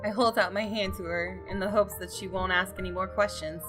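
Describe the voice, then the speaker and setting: A woman gaming host giving an expressive, dramatic scripted narration of a visual novel. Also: medium pitch, brisk. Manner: expressive, story-reading delivery. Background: game music.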